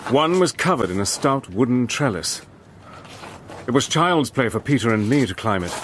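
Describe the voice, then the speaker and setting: An actor narrating and playing a brave, level-headed British army major, telling the story in a crisp, steady way. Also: male voice, medium pitch, normal speed, a little hiss or hum, natural voice. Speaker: narrator and hero. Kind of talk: radio play narration and acting.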